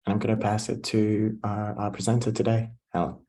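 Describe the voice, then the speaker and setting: A man from France, introducing himself politely and briskly on a video call. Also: on the low side, completely flat delivery, mellow. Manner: polite, brisk, with hesitant fillers. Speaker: community engagement staff.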